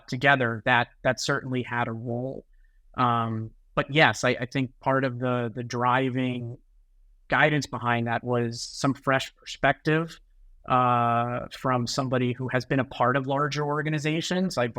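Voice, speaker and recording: A middle-aged man with an American accent talks through his answers in an easy, conversational way, often restarting words and tagging on "right?". His voice sits at an ordinary pitch. The sound is dry and close, with no room echo. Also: bright, forceful tone. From United States. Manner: conversational, repeats words and tags sentences with "right?". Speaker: guest, sales executive. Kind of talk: podcast interview answers.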